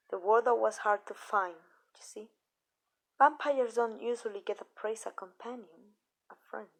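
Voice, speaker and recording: A woman playing a possessive yet tender female vampire mistress in an intimate ASMR roleplay, speaking softly and deliberately with hesitant pauses. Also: medium pitch, gravelly.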